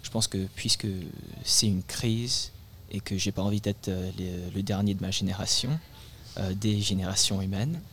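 An eighteen-year-old man speaks earnestly, with a lowish voice and frequent hesitations. A faint background noise runs under his speech. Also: moderate pace, a bit bright. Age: teenager. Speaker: guest, climate activist. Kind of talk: radio interview.

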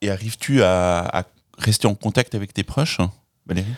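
A man hosts a podcast interview in a fairly low voice. He speaks in an articulate, measured way. He reads a prepared opening, then guides his guest with careful questions. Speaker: podcast host. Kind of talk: interviewing, partly scripted intro.

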